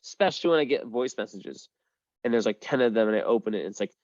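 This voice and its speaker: A man's voice: fairly low pitch, lively intonation, quick pace, a little mellow, no room sound, highs cut off. A young man giving a casual astrology reading, rambling with lots of fillers, restarts and the odd swear. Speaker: astrology reader. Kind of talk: casual one-on-one chart reading.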